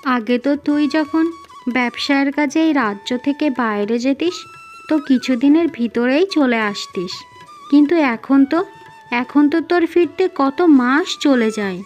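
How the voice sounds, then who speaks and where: A woman's voice: sits high, measured pace, muffled recording. A woman voicing a fussy, nagging mother of a grown son in a fairy-tale cartoon, complaining in a familiar way. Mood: exasperated, affectionate. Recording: music under the voice.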